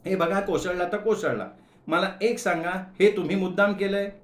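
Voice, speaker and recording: A man voicing a sharp-tongued, feisty young woman who fires back quick teasing retorts. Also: high-pitched, steady tone, voice pushed up, close to an everyday voice. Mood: sharp mocking. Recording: roomy echo.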